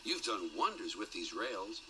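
A man's voice: fairly low pitch, very animated, measured pace, slight background noise, exaggerated voice, rough recording. A man voicing a little old male steam engine who chats fondly and scolds firmly, in a children's storybook show. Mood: kindly. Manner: warm and chatty, then stern.

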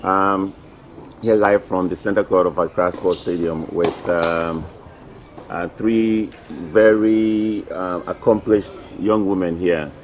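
A middle-aged man hosting a casual interview at an outdoor tennis court, talking haltingly with many fillers into a table mic. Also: sits low, steady tone, slight background noise. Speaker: interview host, tennis federation president.

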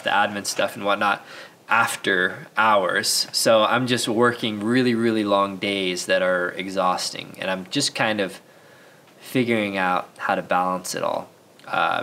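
A man's voice: lowish voice, a bit bright, a little hiss or hum. Stuffed-up man rambling on a build site. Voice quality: nasal, stuffed up. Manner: rambling, candid, frequent restarts. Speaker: channel host. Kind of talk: casual vlog talk to camera. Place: half-built house under construction, partly open.

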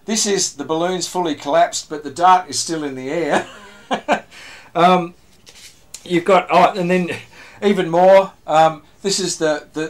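An older man presenting to a radio club in a casual, rambling show-and-tell, with lots of fillers and restarts, recorded in a small room on a camera mic. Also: fairly high pitch. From Australia. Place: small room with green backdrop.

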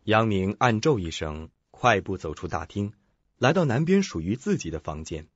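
A man's voice: fairly low pitch, very animated, normal speed, breathy edge. A man narrating a scripted suspense radio drama as storyteller. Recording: dull lo-fi audio with faint music.

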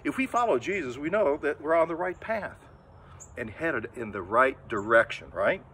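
A man's voice: a little high, normal speed, a little hiss or hum. An older man giving a children's sermon outdoors in front of a church, talking casually and acting out little voices as he goes. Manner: lively storytelling, acts out inner voices, gestures a lot. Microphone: camera a few metres away, standing full figure.

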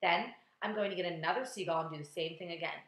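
A woman voicing an earnest young boy in a children's storybook, speaking in a calm, measured, resolute way. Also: lowish voice, natural voice. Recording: echoey, roomy sound.

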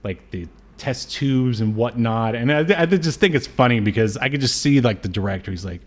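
A man's voice: medium pitch, lively intonation, moderate pace. A man in his thirties co-hosting a podcast, recapping a film in a casual, joking way over a video call. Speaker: podcast co-host. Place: indoor room on a video call.

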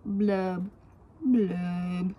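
A woman's voice: on the low side, steady tone, drawn-out words, dark tone, a little hiss or hum, voice pushed down. A woman voicing a glum, gloomy male fish in a children's storybook, speaking in mopey, resigned singsong rhyme.

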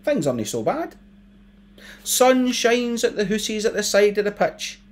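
A man's voice: high-pitched, sing-song, unhurried, voice pushed up. A Scottish man voicing a dreamy, simple-minded teenage boy who rambles and gets easily distracted. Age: young adult.

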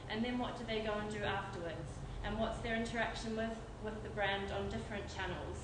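A woman giving an informal company presentation at a tech meetup, hesitant, with frequent fillers and restarts. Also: medium pitch. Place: event venue with an audience. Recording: echoey room from far off, noisy.

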